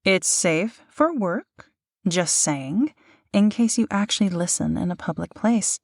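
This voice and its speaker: A woman who works as a voice actor, co-hosting a scripted audio series with a gently teasing, reassuring manner. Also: fairly low pitch, unhurried, no room sound, clean studio sound. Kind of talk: scripted banter and introduction.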